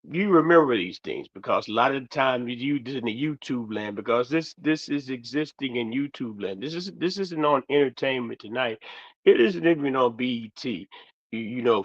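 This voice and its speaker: Man with a gravelly, fading voice. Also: medium pitch, fairly bright tone, lo-fi sound. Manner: rambling, meandering, trails off mid-sentence. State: losing his voice. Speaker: commentary host. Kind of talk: casual commentary monologue.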